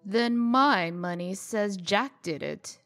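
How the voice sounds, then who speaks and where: A woman's voice: mid-range voice, takes it slowly, fairly bright tone, faint music behind. A woman playing a stern, composed government official in a fairy-tale comic dub, formal and insistent. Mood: insistent, serious. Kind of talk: dramatic comic dub acting.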